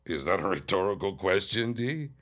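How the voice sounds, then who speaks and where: A man's voice: deep voice, very animated, moderate pace, fairly bright tone. An actor playing an arrogant, scheming 1910s businessman with haughty, formal diction in an audio drama. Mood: sneering, scornful. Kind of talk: period audio drama acting.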